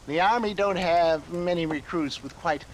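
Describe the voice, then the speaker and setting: An actor playing an elderly theatrical male con man in a video game cutscene, with flowery speech, frequent chuckles and drawn-out pauses. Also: on the high side, slight background noise, lightly acted, low-quality recording. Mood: jovial.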